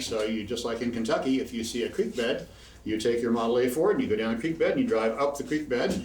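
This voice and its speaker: A man in his sixties from Indiana telling rambling, chatty stories between tunes at a house concert. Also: mid-range voice. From Bloomington, Indiana. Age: 60s. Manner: rambling, chatty, chuckling asides. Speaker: banjo player telling stories. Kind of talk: casual storytelling at a house concert. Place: living room at a house concert. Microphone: far camera in the room. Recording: roomy, distant, faint room noise.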